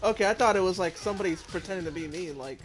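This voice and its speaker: A man hosting a gaming stream, chatty and quick to laugh as he talks with friends between matches. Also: high-pitched. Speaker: streamer host. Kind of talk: casual gaming stream chat.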